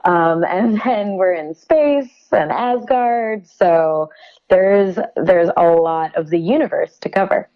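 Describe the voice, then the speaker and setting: A woman with a fairly low voice talks as a guest in a casual promotional interview. She is enthusiastic, often pausing to pick her words. The audio is slightly dull, like a muffled call.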